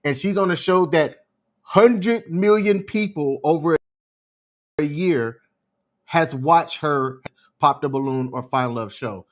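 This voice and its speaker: A man's voice: on the high side, takes it slowly. A man co-hosting a podcast, casually giving his opinions and explaining his points at length. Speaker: podcast co-host. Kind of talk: casual commentary chat.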